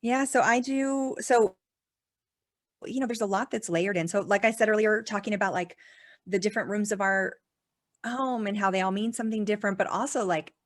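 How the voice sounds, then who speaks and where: A woman's voice: medium pitch, moderate pace. A woman as a podcast guest in casual conversation, chatty and full of "like" fillers, laughing often.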